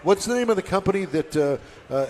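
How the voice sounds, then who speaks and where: A man's voice: sits high, animated, fast, darkish tone. A man hosting a live car talk show, chatting and bantering with guests in a joking, folksy way. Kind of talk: live interview and casual banter. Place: remote broadcast at an event venue. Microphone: broadcast microphone.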